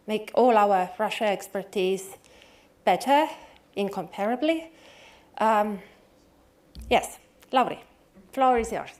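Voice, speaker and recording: Chatty woman introducing a speaker. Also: medium pitch. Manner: conversational, lively, with small slips. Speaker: conference moderator introducing the speaker. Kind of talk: informal introduction speech.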